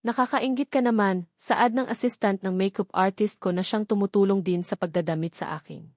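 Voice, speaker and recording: Filipino woman, medium pitch, dramatic storytelling delivery, audiobook narrator, dry studio-like sound. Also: a little fast, darkish tone, dry recording. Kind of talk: dramatic scripted first-person novel narration.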